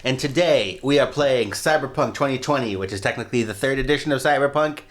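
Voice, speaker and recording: A man hosting a tabletop game podcast as game master, joking and quick to laugh. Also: medium pitch. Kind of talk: casual podcast banter.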